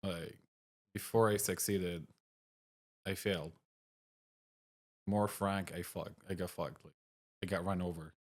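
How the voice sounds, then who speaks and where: A man's voice: deep voice, steady tone, a little slow, pauses often. A middle-aged man from Syria, a cafe owner giving blunt, short answers in a casual interview.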